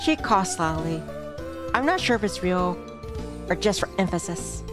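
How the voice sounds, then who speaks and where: Casting: actress for introspective young female visual novel narrator, self-critical and glum, slightly low register, music behind. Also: expressive, normal speed, natural voice. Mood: worried, observant. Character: self-doubting, observant young woman, introspective narrator-heroine.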